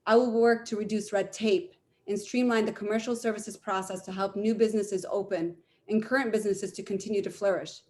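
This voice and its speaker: A woman's voice: medium pitch, fairly even intonation, brisk, a little mellow. Woman delivering an earnest campaign speech. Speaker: mayoral candidate giving closing statement.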